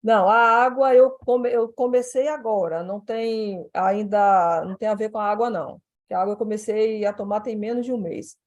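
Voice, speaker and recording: A woman's voice: medium pitch, steady tone, moderate pace, hardly any pauses, darkish tone, lo-fi sound. A Brazilian woman talking casually as a participant describing her situation and asking questions. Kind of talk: casual conversation.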